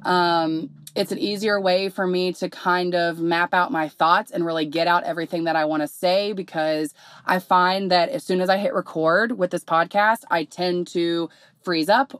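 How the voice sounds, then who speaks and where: A young woman, slightly hoarse, hosting her podcast in candid, rambling casual talk full of fillers. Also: on the low side, fairly even intonation, normal speed, no room sound, clean studio sound. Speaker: podcast host.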